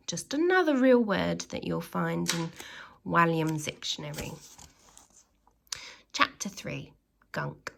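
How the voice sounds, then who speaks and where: A woman reads a storybook to young children in a bright voice with a creaky edge. Her pace is slow and deliberate. She performs it expressively, stressing dramatic words and turning to her listeners as a teacher would. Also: fairly low pitch. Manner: expressive, with dramatic emphasis and exclamations; pauses to address young listeners with questions. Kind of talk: animated storytelling read-aloud for young children.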